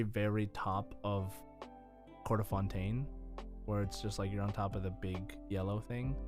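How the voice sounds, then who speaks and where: A man hosting a casual gaming podcast, chatting in a halting, rambling way. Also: lowish voice, a little mellow. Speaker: podcast host.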